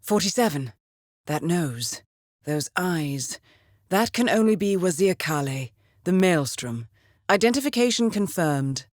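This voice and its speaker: An actress playing a composed, shrewd intelligence handler in a video game, giving mission briefings in a measured, precise and dryly wry way. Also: female voice, on the low side, lively intonation, dry recording, clean studio sound. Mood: composed, intrigued.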